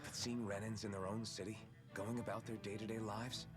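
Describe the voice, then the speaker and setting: A man's voice: lowish voice, flat delivery, brisk, faint music behind, lightly acted. An actor playing an earnest young male hero in a video game, speaking thoughtfully and plainly. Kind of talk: game voice acting.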